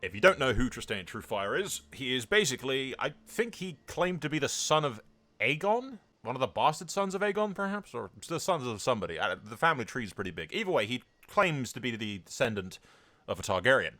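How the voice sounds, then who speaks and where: A man doing casual, sweary video game commentary, switching between excitable reactions, explanations and improvised story narration. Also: medium pitch, fairly quick. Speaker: video game streamer narrating a role-play playthrough.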